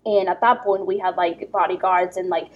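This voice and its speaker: A woman from Ecuador in her thirties recounts a story in relaxed conversation. Her voice has a husky edge. She speaks in a lively, animated way, with plenty of fillers. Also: lowish voice, normal speed, muffled recording. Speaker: podcast guest. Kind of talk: casual storytelling.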